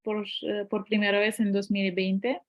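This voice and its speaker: A woman's voice: mid-range voice, flat delivery, unhurried. A woman talking casually in a second language, hesitating often with fillers and restarts. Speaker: guest polyglot. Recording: slightly dull lo-fi audio.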